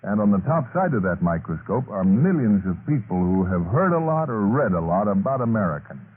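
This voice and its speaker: A man reading formal scripted radio announcements in a measured, earnest 1950s style. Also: fairly low pitch, very animated. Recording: tinny, hissy 1950s radio recording.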